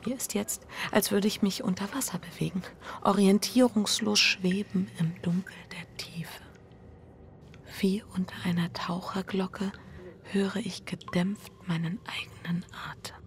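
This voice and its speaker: An actress playing an anxious, guilt-ridden wife narrating a radio drama in an intimate, breathy, introspective way. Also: female voice, mid-range voice, steady tone, slight background noise, natural voice. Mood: dazed, numb. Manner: introspective, hushed inner monologue with halting dialogue. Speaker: lead actor and first-person narrator.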